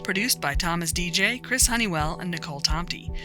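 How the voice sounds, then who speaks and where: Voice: medium pitch, lively intonation, fairly quick. An announcer reading the scripted closing credits of an audio drama. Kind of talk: scripted narration. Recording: music under the voice. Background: music.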